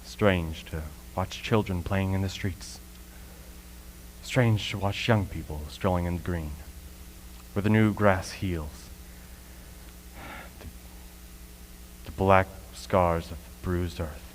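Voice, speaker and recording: A man acting a solemn scholar who survived an invasion, narrating deliberately in a radio play. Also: sits low, rough recording. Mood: reflective, wistful. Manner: solemn, deliberate, with dramatic emphasis. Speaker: actor in a school radio play. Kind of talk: dramatic radio play monologue. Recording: faint hum and noise, live stream.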